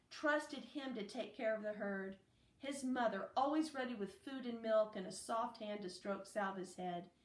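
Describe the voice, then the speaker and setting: A woman reading a children's novel aloud as narration, in a deliberate, phrase-by-phrase way. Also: mid-range voice. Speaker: audiobook reader. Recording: roomy, echoey, distant microphone.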